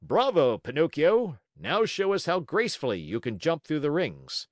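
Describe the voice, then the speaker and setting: A man's voice: a little high, sing-song, a little slow, a little mellow, no echo, clean studio sound, pitched above the performer's own voice. A man voicing a pompous, domineering circus ringmaster in a storybook, with a grandiose showman's way of declaiming. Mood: commanding.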